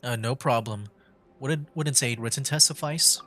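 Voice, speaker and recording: A man's voice: medium pitch, unhurried, a bit bright, slight background noise. Man thinking aloud while gaming. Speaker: streamer hosting a video game livestream. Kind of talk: casual gameplay commentary.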